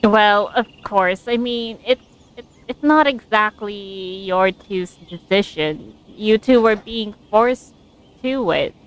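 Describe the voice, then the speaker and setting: A woman's voice: medium pitch, slow pace, clear, resonant tone, poor audio. A woman game streamer chatting casually and reacting aloud to a story as she plays, close to her microphone. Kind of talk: casual live gameplay commentary and reading along.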